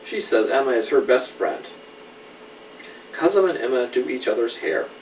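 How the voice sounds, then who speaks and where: A middle-aged man reading a children's story aloud in an animated way, with conversational questions to a young listener. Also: medium pitch, moderate pace. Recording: roomy, distant home recording with noise.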